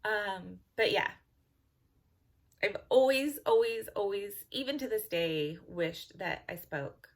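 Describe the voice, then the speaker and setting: A woman's voice: mid-range voice, lively intonation, slow. A woman from California with a Californian accent, chatting casually to camera in a giggly, rambling way. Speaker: vlogger.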